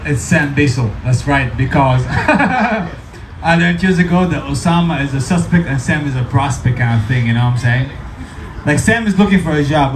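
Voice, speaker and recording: Stand-up comic, man, Syrian accent, slightly high voice, deadpan and tipsy, echoey cafe room. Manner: deadpan, repeats "that's nice" after punchlines. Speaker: stand-up comedian. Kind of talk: stand-up comedy set. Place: small cafe comedy stage. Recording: echoey room with crowd noise. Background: audience laughter and room ambience.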